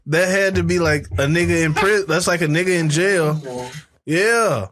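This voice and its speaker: A man's voice: fairly high pitch, normal speed, fairly bright tone. A young man hosting a podcast, chatting casually and laughing as he tells stories and mimics people. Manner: laughing storyteller doing voices of people he quotes. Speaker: podcast host. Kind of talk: casual chat.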